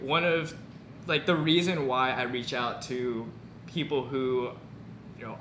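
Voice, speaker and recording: A man's voice: mid-range voice, a bit bright. A young man, a medical student vlogger, hosting a casual interview and sounding eager and a little nervous. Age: young adult. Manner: eager, slightly nervous, uses filler words. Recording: echoey room with faint noise.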